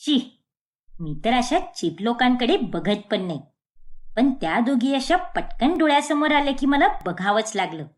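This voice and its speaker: A snobbish young society woman played by a female narrator from a cartoon moral story. She lectures in a condescending, scornful manner, with a very lively pitch that swoops around. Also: unhurried. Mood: disdainful. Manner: condescending, lecturing, fashion-obsessed. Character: snobbish rich young women, scornful socialite friends.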